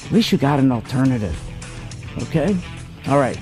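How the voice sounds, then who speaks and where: A middle-aged male radio host talking straight at his listeners in a long monologue. He is emphatic and rambling, with an average pitch, and he often stalls on repeated "uh" and "and" before pushing on. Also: very animated, moderate pace, darkish tone, faint music behind.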